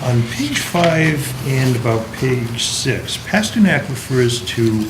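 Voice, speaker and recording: A man in a writers' group raising a small question about a script, halting and unsure. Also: mid-range voice, sing-song. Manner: hesitant, halting with fillers. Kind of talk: casual critique discussion. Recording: roomy table recording with background noise.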